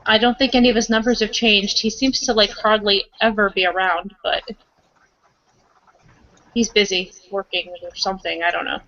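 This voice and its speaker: A woman's voice: medium pitch, fairly even intonation, normal speed, bright, forceful tone. A woman chatting casually in character in a roleplaying game, hesitant and conversational. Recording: dull lo-fi recording.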